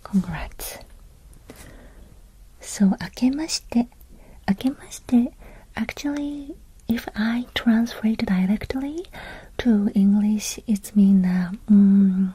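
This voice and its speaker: A woman with a Japanese accent giving a soft-spoken language lesson in a breathy whisper, in short, simple, gentle phrases. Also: medium pitch, slow pace, pauses often, dry recording, low-quality recording.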